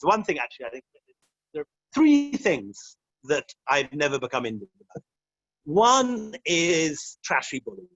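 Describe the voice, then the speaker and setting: A man's voice: fairly high pitch, very animated, normal speed, fairly bright tone, no echo, poor audio. A middle-aged man from Scotland, a guest answering interview questions in a wry, rambling way, with self-deprecating humor and frequent laughs.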